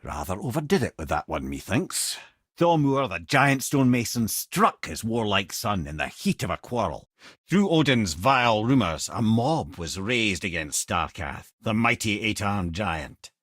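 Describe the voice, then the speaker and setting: A worldly-wise old Scottish storyteller, a reanimated severed head from a video game. His delivery is wry and eloquent, with old-fashioned phrasing and a lively, swooping melody. The sound is dry, with no room around it. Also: fairly low pitch, measured pace, dry recording, full character voice. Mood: grave storytelling.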